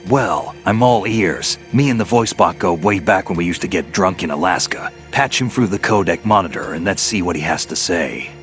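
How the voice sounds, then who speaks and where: A man playing a gruff, grumbling, impatient veteran soldier spy from a video game, in a curt scripted skit. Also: low voice, moderate pace, few pauses, fairly bright tone, faint music behind. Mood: interested, wry.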